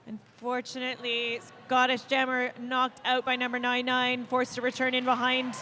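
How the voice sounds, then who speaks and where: A woman giving play-by-play roller derby commentary with quick calls and playful banter, with a faint arena hum behind her. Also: high-pitched, completely flat delivery, sharp tone. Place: leisure centre arena.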